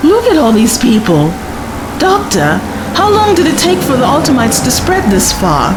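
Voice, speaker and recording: A woman acting in a science fiction audio drama as an earnest, inquisitive traveling companion, expressive and often questioning. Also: high voice, normal speed, background noise. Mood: concerned, curious. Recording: music behind the voice.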